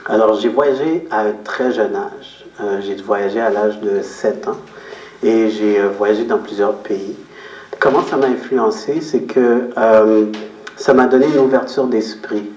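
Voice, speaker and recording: A man's voice: lowish voice, a little slow, a little mellow, slight background noise. Reflective man in a roomy, distant recording. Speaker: interview guest, photographer and business owner. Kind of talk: interview answers, explaining personal philosophy. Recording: roomy echo, distant microphone.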